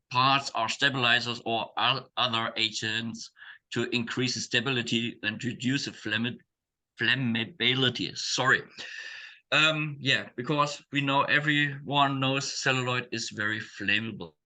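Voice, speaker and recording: Man, presenter, bright ringing voice, halting delivery with lots of fillers. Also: mid-range voice, poor audio. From Germany. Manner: halting, searching for words, frequent fillers. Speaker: accordion shop owner and presenter. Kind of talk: webinar presentation.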